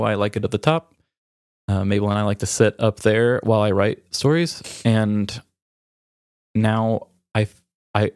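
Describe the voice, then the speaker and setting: Male podcast host, low register, raw and reflective, halting delivery through grief, dry recording. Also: darkish tone, no echo. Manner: halting, reflective, emotional, with pauses. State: grieving. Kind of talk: raw, candid personal conversation.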